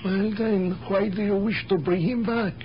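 An actor playing a warm, excitable immigrant shopkeeper with a Spanish accent in a radio drama, effusive and fatherly, on a muffled broadcast. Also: sits high, a little slow, slight background noise. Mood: courteous, cheerful. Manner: effusive, soothing, colorful foreign phrasing.

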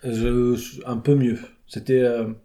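A man's voice: medium pitch, little pitch movement, takes it slowly, mellow. A young man from France, chatting in an interview, hesitant with many fillers and restarts. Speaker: guest, theatre performer. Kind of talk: casual interview chat.

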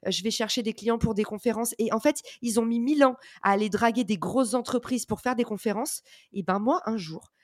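A French-speaking woman giving energetic, informal advice in an interview, with many fillers and slang. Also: fairly high pitch, no room sound, clean studio sound. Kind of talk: casual motivational advice.

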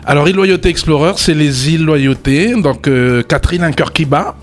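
A man, a radio guest talking about his career with relaxed hesitation. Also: fairly high pitch, moderate pace, soft background music. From New Caledonia. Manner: relaxed, hesitant, many fillers. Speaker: guest, tourism agency director. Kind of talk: radio interview.

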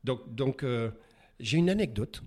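A man's voice: medium pitch, expressive, a little slow, dark tone. A man hosting a casual interview, chatty, with many repeated words and fillers. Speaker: host. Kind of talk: casual interview, warm banter.

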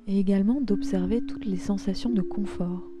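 A woman leading a guided yoga nidra meditation in a soft, soothing, unhurried way, pausing between phrases. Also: medium pitch, steady tone. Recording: music behind the voice.

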